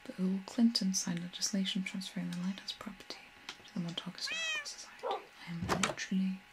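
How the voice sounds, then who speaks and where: A young woman giving whispered ASMR commentary over a video game, breathy, soft, gentle and a little hesitant. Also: mid-range voice, wildly swooping pitch, fast, noisy background. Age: young adult. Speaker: video game streamer and tour guide.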